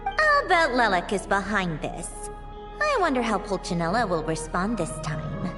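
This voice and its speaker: A woman's voice: mid-range voice, very animated, measured pace, music in the background. An actress playing a studious, articulate female assembly delegate in a video game. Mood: suspicious. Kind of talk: scripted video game acting.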